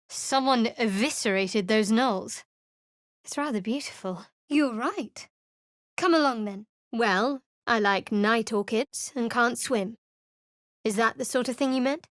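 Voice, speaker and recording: Game cleric, woman, dry and guarded, a touch high, close dead-dry studio sound. Actress plays her. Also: dry recording, lightly acted. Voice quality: dry, clipped and wry. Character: guarded sharp-tongued young female cleric. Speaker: game character. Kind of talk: video game voice acting.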